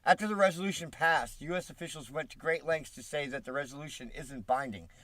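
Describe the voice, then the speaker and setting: A congested man talks on a live stream in a slightly high voice, reading news reports aloud and cutting in with sarcastic, profane asides. The sound is close and dry, with no room around it. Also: fast talker, fairly bright tone, dry recording. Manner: swears freely, mocking asides. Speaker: political commentary streamer.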